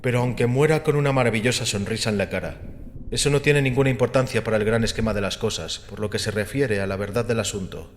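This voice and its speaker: A man's voice: fairly low pitch, fast, long reverb tail, lightly acted. A man voicing an imposing male skeptic who challenges and taunts in an audio story. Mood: mocking challenge. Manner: probing, taunting rhetorical questions. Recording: music under the voice.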